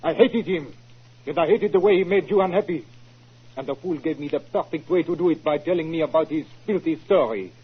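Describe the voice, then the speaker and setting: An actor playing a passionate, jealous lover and murderer in a 1940s radio drama, intense and melodramatic. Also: male voice, on the high side, brisk, slightly rough. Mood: furious. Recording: muffled old 1940s radio recording.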